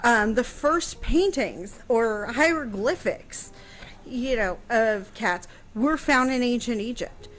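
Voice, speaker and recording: A woman explaining things conversationally on a radio show, thoughtful and pausing mid-phrase as she goes. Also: a little high, lively intonation, rough recording. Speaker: radio guest answering history questions.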